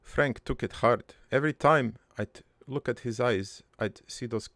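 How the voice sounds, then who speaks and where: A man playing a gruff, crude army veteran turned prankster, telling his regretful life story in a halting, matter-of-fact ramble for an improvised cartoon monologue. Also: mid-range voice, fairly bright tone, dry recording, clean studio sound. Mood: somber, weary.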